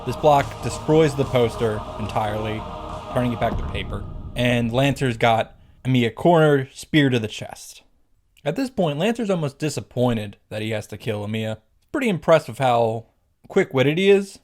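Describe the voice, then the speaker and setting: A man's voice: medium pitch, expressive, normal speed, darkish tone. A man narrating a story recap in a casual, wry way with dramatic emphasis. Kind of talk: casual scripted narration of a visual novel recap. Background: background music.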